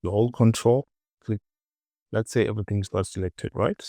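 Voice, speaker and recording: A man giving an informal software tutorial, muttering short clipped instructions to himself and often repeating words. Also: lowish voice, steady tone, moderate pace, creaky, dry recording, studio quality. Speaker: 3D modeling tutorial presenter.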